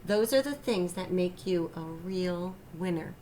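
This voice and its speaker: A woman reading the part of a caring father in a children's storybook, speaking gently and earnestly to encourage a child. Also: fairly low pitch, expressive, measured pace, slight background noise. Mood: encouraging.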